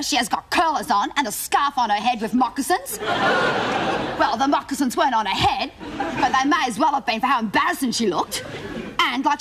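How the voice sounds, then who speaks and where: A high, chattering Australian teenage schoolgirl pours out her humiliations in a rambling, breathless rush. She mocks everyone around her. Audience laughter and a noisy studio sit behind her. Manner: breathless rambling storytelling, mocking asides. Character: gossipy embarrassed teenage schoolgirl. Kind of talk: comedy sketch monologue.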